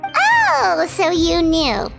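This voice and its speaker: A woman voicing a small, excitable, childlike fairy companion in a video game, squeaky, expressive and outspoken. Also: very slow, gravelly, in a large hall, over loud music, heavily acted. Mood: awkward, flustered.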